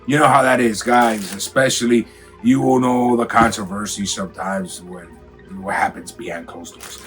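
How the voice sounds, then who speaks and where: A raspy-voiced man with a cold, from Miami, hyping up a casual clothing unboxing for his viewers. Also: lowish voice, fairly bright tone. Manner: hyped, slangy, talks straight to viewers. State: has a cold. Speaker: sneaker and clothing vlogger. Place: home room.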